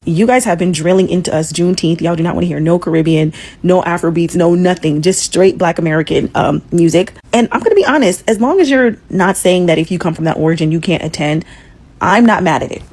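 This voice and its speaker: A woman's voice: lowish voice, brisk, a little mellow. A woman from Jamaica talking casually and frankly, giving a matter-of-fact opinion.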